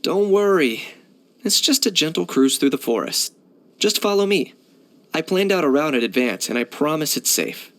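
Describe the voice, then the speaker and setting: Composed, dry young hunter. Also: male voice, fairly high pitch, expressive, moderate pace, a bit bright. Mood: calm, polite. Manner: composed, matter-of-fact, dry. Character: cool, pragmatic young male hunter and mercenary. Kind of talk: video game character acting.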